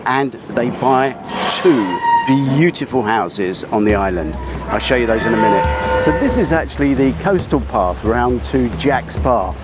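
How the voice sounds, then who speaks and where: A man talking to camera as a travel vlogger outdoors on an island coast, explaining in a relaxed, guide-like way. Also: medium pitch, very noisy. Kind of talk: walking travel vlog.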